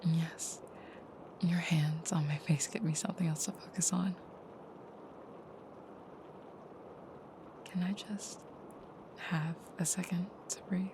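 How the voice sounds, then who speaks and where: A woman playing a tender, soft-spoken vampire girlfriend in an intimate audio roleplay, with a breathy near-whisper and gentle, slightly formal phrasing. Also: on the low side, fairly even intonation, a little slow, background noise. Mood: calming, grateful.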